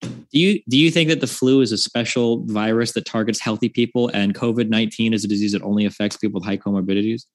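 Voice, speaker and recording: A man in a heated debate, rebutting quickly and insistently with sarcasm. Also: lowish voice, fairly even intonation.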